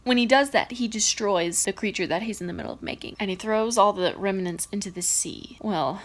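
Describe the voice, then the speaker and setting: A young adult woman giving a casual, animated book recap as a vlogger, dramatic and slangy with bursts of mock shock. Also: medium pitch.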